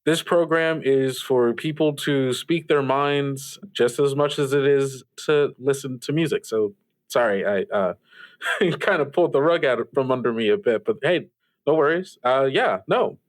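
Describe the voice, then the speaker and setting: A man's voice: mid-range voice, measured pace, natural voice. Man acting as easygoing radio call-in host. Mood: friendly, welcoming. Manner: conversational, hesitant, reassuring. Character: easygoing, supportive male radio DJ in a strange world. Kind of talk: improvised radio show acting.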